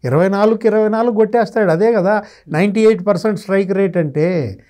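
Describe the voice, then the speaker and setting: A man's voice: sits high, quick pace, dark tone. A man, giving conversational political commentary as a guest analyst, quoting others and posing rhetorical questions. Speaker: senior journalist, guest analyst. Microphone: lapel mic.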